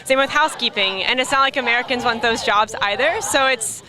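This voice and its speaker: A young woman protester outdoors arguing in a clear, reasoned way. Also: on the high side, a little fast, background noise. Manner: articulate, reasoned, says "like".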